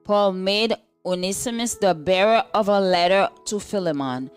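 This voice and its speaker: A woman's voice: medium pitch, moderate pace, clear, resonant tone, soft background music. A woman reading a scripted religious devotional aloud as narration. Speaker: devotional reader.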